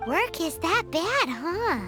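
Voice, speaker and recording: A woman voicing a tiny, cheeky fairy companion with a squeaky childlike voice in an anime-style video game. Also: sing-song, slow pace, over loud music, exaggerated voice. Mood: cheeky, curious. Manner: blunt, exclaiming, refers to self by name.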